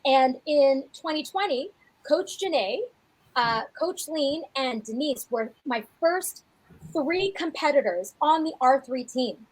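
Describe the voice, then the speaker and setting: A woman in her forties with a high, light voice talks as a fitness coach on a podcast. Her delivery is chatty and animated, full of quick restarts. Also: low-quality recording. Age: 40s. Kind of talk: casual podcast chat.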